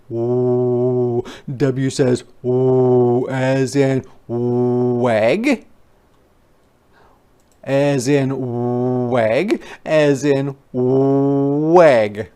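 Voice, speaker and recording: A teacher gives an interactive phonics read-aloud for young children. The delivery is extremely slow, with each sound and word carefully stretched and stressed. The voice is smooth and clear, with playful, expressive asides. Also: mid-range voice. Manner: exaggerated, deliberate enunciation of letter sounds and words.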